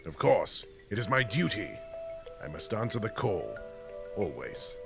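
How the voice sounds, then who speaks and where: A man voicing a towering, stoic space-marine warrior in a comic dub, gentle but dutiful, speaking in a slow, solemn, gravelly voice. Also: big pitch swings, heavily acted. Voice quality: very deep, gravelly voice. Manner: slow, solemn, measured delivery. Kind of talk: fan comic dub voice acting. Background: music score.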